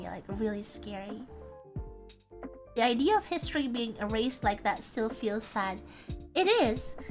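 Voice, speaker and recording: A young woman with an Indonesian accent, whose first language is Indonesian, chatting casually on a livestream and reading viewer comments. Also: fairly high pitch. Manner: chatty, reads viewer comments aloud, thinks out loud. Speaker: VTuber streamer.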